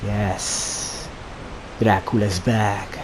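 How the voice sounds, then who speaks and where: Man acting bloodthirsty male vampire knight, slow theatrical menace, hushed and lowish, game roleplay, faint hiss. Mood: menacing, thirsty. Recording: steady background hiss.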